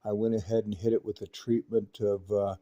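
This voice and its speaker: A man's voice: lowish voice, level tone, brisk, mellow, dull audio. An American man narrating a repair walkthrough as a technician, in a casual, folksy, rambling explanatory way. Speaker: radio repair technician and video host.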